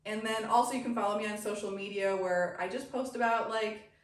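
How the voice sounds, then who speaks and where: Middle-aged American woman, animated interview guest and coach, casual storyteller, recorded off-mic in a heavily reverberant room. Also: medium pitch, a little fast. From grew up in the United States. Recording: very echoey room, distant mic, faint noise.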